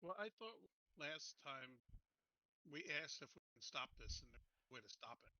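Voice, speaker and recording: A man's voice: mid-range voice, sing-song, normal speed, pushed and bright, dry recording, low-quality recording. A man playing a tabletop roleplaying game, talking casually with the group. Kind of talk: casual discussion during a game.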